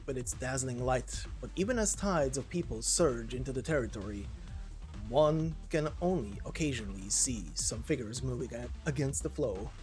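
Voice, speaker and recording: A man's voice: medium pitch, lively intonation, normal speed, darkish tone, soft background music. A man reading a game story aloud as dramatic scripted narration.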